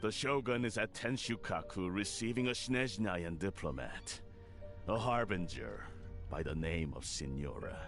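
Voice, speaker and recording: A smug, scheming clan lord. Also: male voice, sits low, expressive, unhurried, over music. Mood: scornful. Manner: haughty, condescending. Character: scheming older male clan head, smug. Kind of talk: video game voice acting.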